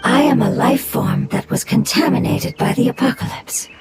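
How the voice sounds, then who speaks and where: A woman voicing an ancient, sorrowful non-human being in a video game, calm, solemn and formal. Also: on the high side, normal speed, close to an everyday voice. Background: game music.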